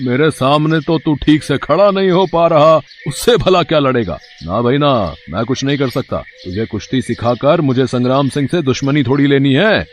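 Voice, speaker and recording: A man's voice: mid-range voice, moderate pace, hardly any pauses, slight background noise, natural voice. A man voicing a scornful, bullying wrestler in a cartoon moral story, with a taunting, dismissive manner. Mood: mocking, dismissive. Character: scornful male wrestling gym boss and bully.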